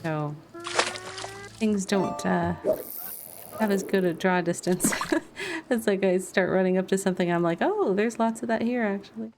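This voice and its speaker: A woman's voice: medium pitch, normal speed, pauses often, over loud music. A woman chatting casually and informally as she livestreams a video game, laughing easily. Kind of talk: casual livestream gameplay chat.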